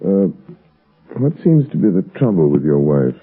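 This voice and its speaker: An actor playing a suave, probing gentleman sleuth in an old radio drama, speaking in a measured, pointed way. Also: sits low, big pitch swings, breathy edge, faint music behind, full character voice. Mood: calm, curious. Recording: muffled, phone-like vintage radio recording.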